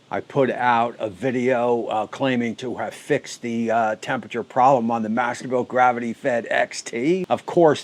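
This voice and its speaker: A man's voice: medium pitch, darkish tone. A man hosting a backyard barbecue channel, talking casually through a smoker fix with lots of fillers and restarts. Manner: chatty, many fillers and restarts. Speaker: BBQ channel host. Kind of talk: casual how-to vlog.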